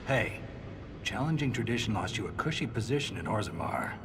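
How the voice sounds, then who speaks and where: A man playing a pragmatic, skeptical dwarf soldier in a video game, talking in a blunt, wry, gruff way. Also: on the low side, lively intonation, brisk, noisy background, close to an everyday voice. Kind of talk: video game cutscene dialogue. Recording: game music behind the voice. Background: music.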